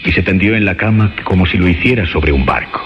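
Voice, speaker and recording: Deep, expressive Spanish suspense narrator. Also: male voice. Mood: sensual, suspenseful. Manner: measured, ominous storyteller. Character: grave male narrator of a suspense tale. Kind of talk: dramatic scripted narration, suspense radio play. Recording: reverberant, dull radio sound with music.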